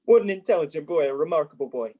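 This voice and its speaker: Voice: medium pitch, expressive, fast, mellow, natural voice. A young performer playing a giddy, generous reformed old miser in a 1930s-style radio play, hearty and exclamatory. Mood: delighted. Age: young adult. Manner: exclamatory, hearty, courtly old-fashioned phrasing.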